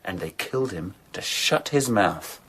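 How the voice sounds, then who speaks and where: An actor playing a forceful, protective, suspicious gentleman in a radio drama, urgent and commanding. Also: low-pitched, lively intonation, unhurried, husky, full character voice. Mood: grim suspicion.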